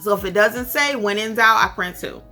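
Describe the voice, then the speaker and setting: An American woman with a bright, forward voice teaches in a tutorial style. She leans on key points and repeats them for emphasis. Faint music plays behind her. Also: medium pitch, a little fast. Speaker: instructor and host of tutorial videos for notary signing agents. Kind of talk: instructional talk with acted-out example phone calls.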